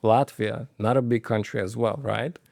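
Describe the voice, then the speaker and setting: A man's voice: fairly low pitch, steady tone, moderate pace, creaky, a little mellow, no room sound, studio quality. A man, a company manager telling stories in an interview, hesitant, with many fillers and restarts. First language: Romanian. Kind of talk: conversational interview storytelling.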